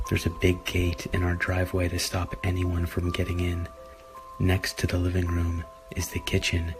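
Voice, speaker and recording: A man narrating a scripted horror story in a measured, deliberate way. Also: low-pitched, low-quality recording. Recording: music behind the voice. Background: background music.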